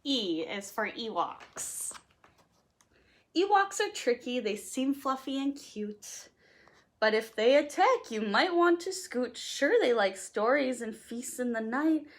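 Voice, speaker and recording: A woman reading a rhyming children's picture book aloud in an expressive, playful, sing-song way. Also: fairly high pitch, slow pace. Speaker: storytime reader.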